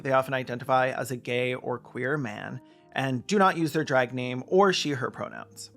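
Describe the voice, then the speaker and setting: Gravelly male video essayist. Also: mid-range voice, a bit bright. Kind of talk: scripted narration, argumentative video essay.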